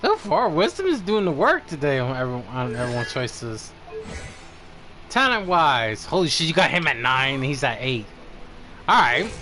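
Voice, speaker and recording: A man's voice: mid-range voice, huge pitch swings, normal speed, bright, forceful tone, a little hiss or hum. A man commentating casually on a live game stream as its host, swearing often. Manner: frequent swearing. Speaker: streamer reviewing game characters.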